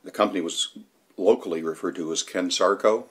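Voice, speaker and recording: A man's voice: low voice, a little fast, slightly rough. A man reading scripted documentary narration, including old newspaper reports.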